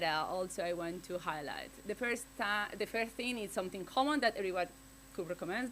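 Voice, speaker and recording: Woman researcher, English, halting speech, frequent restarts, panel talk, faint hum. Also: mid-range voice, fairly bright tone, rough recording. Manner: halting, searching for words, many restarts and fillers. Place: conference panel.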